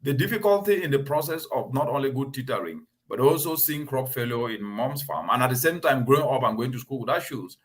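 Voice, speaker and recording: A man hosting a talk show, speaking in an earnest, emphatic and measured way. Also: mid-range voice, lively intonation. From Cameroon. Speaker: host.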